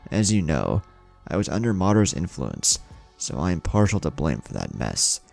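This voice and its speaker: A man's voice: low-pitched, big pitch swings, normal speed, creaky, darkish tone, soft background music, heavily acted. A man voicing a proud, very old male ninja leader in an audiobook, blunt and weighty in manner. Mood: weary, conciliatory.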